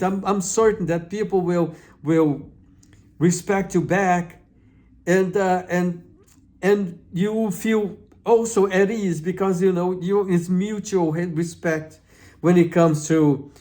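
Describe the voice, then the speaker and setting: A man's voice: sits high, dark tone. A man with a Brazilian accent hosting his own channel, giving earnest advice in a hesitant way, often repeating and restarting words. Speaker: channel host. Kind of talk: informal talk to camera.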